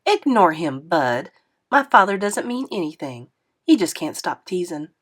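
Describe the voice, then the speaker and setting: A woman voicing a kind but scolding motherly woman who fusses over a child in a lively way. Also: on the low side, a little slow. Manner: warm, animated, fussing.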